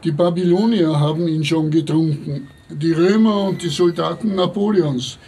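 A man playing an eccentric old seaman in an audio drama, lecturing in a halting, ceremonious, rambling way. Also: on the high side, mellow, husky. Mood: solemn, instructive. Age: older.

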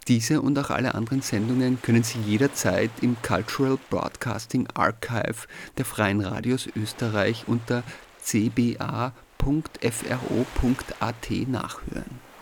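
Creaky-voiced man narrating radio. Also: mid-range voice, slight background noise. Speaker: radio show host.

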